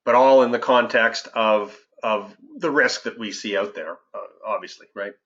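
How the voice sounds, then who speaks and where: A man giving measured, somewhat halting financial market commentary on a conference call as an investment adviser. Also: lowish voice, fairly bright tone. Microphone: conference call line. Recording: dull, lo-fi conference call audio.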